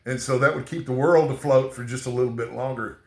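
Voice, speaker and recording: A man's voice: mid-range voice, fairly even intonation, vocal fry, roomy sound. A man with a slight Texan accent chatting casually to camera, rambling and often tagging his sentences with "okay?". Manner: rambling, repeats "okay?" often, halting restarts. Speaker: booktuber.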